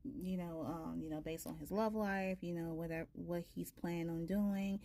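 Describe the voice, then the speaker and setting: Soft-spoken woman, chatty, lowish voice. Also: fairly even intonation, normal speed. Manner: rambling, many fillers. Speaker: tarot reader, channel host. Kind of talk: casual chatty talk to viewers.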